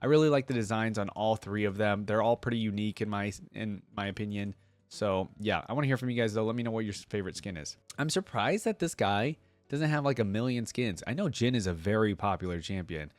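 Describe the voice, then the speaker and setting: A man's voice: on the low side, brisk, dry recording. A man hosting a reaction channel, chatting informally and thinking aloud as he weighs his opinions for viewers. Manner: informal, hesitant deliberating, addresses viewers directly. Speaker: host of a game reaction channel. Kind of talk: casual running commentary, thinking aloud.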